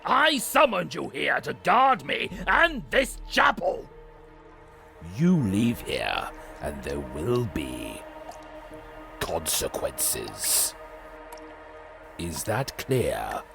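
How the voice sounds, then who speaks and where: An actor playing a domineering male pastor who speaks in a grave, commanding and threatening way, with a gravelly voice. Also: medium pitch, huge pitch swings, drawn-out words, long pauses, music in the background, heavily acted. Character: domineering male pastor of a grim city chapel.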